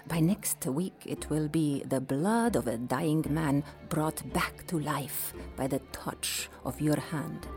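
A woman playing a gracious, hospitable Persian empress in an audio drama, with a warm, confiding way of talking. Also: deep voice, slow, few pauses, faint music behind.

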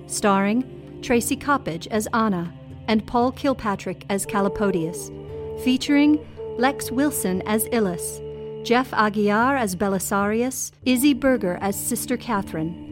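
A woman announcer reading scripted end credits for an audio drama. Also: a little high. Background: music.

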